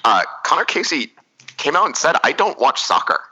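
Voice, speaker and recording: A man's voice: fairly high pitch, very animated, normal speed, bright, forceful tone, poor audio. A man hosting a casual soccer podcast, talking analysis in a rambling, thoughtful way with many restarts. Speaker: podcast host.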